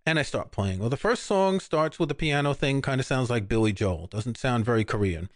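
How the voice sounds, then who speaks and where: A man's voice: medium pitch, brisk, dry recording. Dry, close-mic'd older man. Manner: relaxed, rambling, many restarts. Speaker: podcast co-host. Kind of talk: casual chat.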